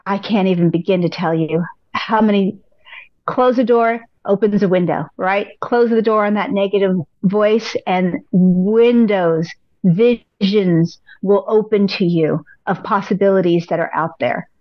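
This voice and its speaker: Upbeat encouraging woman, slightly hoarse. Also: medium pitch, unhurried, no room sound, highs cut off. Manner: upbeat, encouraging, speaks in repeated affirmations. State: losing her voice. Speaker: pilates instructor, podcast guest. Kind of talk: casual interview advice.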